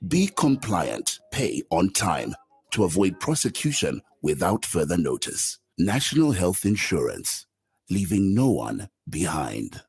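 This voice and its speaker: A man with a husky voice reads a formal public notice as a radio announcer. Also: low voice, huge pitch swings, a little slow. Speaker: advert announcer.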